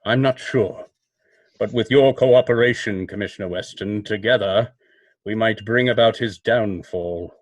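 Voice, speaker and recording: Man as mysterious vigilante, old-time radio drama, low pitch, dry close sound, measured, commanding. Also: no echo. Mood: ominous, commanding. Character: commanding, ominous male crime-fighting vigilante.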